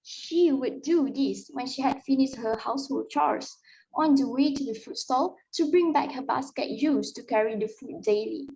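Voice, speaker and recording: A woman performs a storyteller of sad ghost tales in a high voice. The dramatic reading is emotive and a little halting. The audio sounds muffled and low in fidelity. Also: gravelly, mellow. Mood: sorrowful.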